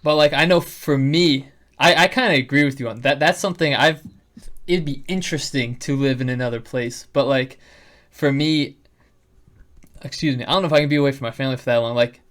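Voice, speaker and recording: A man's voice: mid-range voice, a little fast, fairly bright tone. A young man chatting earnestly on a podcast, often restarting his sentences as he talks. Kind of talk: casual podcast conversation.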